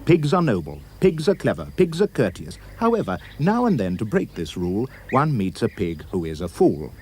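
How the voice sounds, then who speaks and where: Male narrator: lowish voice, very animated, emphatic puppet-show storytelling, slightly noisy recording. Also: moderate pace. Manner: theatrical, emphatic.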